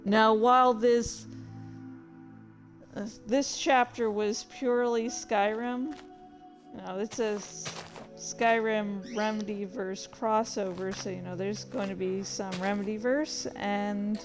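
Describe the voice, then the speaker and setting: Woman streamer, unhurried and halting, easily sidetracked, chatting casually over light music. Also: medium pitch, steady tone. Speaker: livestream host and fan fiction reader. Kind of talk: casual rambling stream chat.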